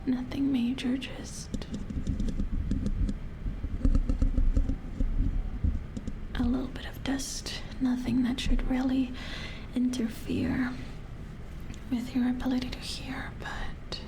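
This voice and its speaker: A woman playing a calm, matter-of-fact robot repair engineer in a soft-spoken ASMR roleplay, murmuring as if thinking aloud in a breathy whisper. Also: high voice, measured pace, rough recording. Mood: focused, soothing. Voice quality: breathy whisper with creaky edges.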